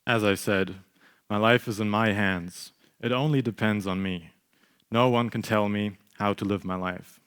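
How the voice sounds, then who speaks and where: A man's voice: lowish voice, steady tone, moderate pace, a bit bright, clean tone, lightly acted. A young man reading the part of a detached, sceptical Lebanese outsider in a staged play reading. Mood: self-reliant. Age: young adult.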